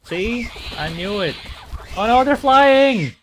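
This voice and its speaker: A man's voice: very high voice, lively intonation, slow, fairly bright tone, slight background noise, pitched above the performer's own voice, exaggerated voice. A man voicing an urgent, irritated young survivor in a horror visual novel, exclaiming bossy orders. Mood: urgent, alarmed. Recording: music behind the voice.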